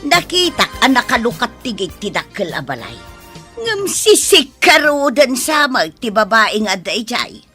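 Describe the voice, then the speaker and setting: A woman's voice: a little high, big pitch swings, a little slow, bright, forceful tone, faint music behind, exaggerated voice. A Filipino woman playing a nosy, indignant mother, gossipy and insistent, in an audio drama. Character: nosy, indignant mother reporting what she saw. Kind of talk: Ilocano audio drama acting.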